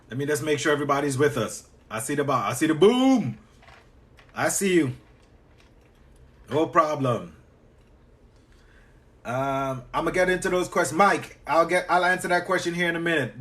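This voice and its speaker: A man's voice: a little high, a bit bright. Trinidadian man coaching a live stream. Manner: chatty, repeats quick acknowledgements to viewers. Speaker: business coach. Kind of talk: live-stream teaching while answering chat.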